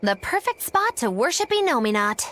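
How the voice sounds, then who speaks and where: A woman's voice: high-pitched, big pitch swings, normal speed, fairly bright tone. An actress playing a mischievous, theatrical witch in a video game, showy and playful. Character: gleeful, theatrical, mischievous witch who delights in chaos. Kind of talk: English video game voice acting.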